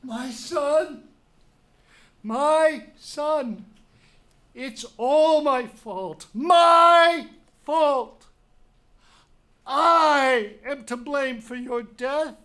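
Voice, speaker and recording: A grief-crushed king of Greek tragedy, extremely slow and high in pitch. He laments in drawn-out anguish, full of guilt, as in a staged dramatic reading. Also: bright, forceful tone, exaggerated voice. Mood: wailing grief.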